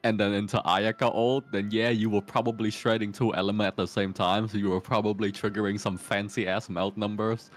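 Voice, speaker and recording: A man's voice: fairly low pitch, little pitch movement, brisk, few pauses, soft background music. A man giving game advice in casual, informal chat with a wry, teasing manner. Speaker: guest advising the streamer on the game.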